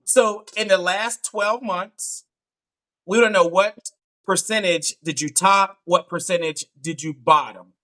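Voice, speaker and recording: Male dating show host, bright and edgy tone, teasing and jokey, video call. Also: sits high, slow. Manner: playful, teasing, laughs often. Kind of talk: live online dating show hosting.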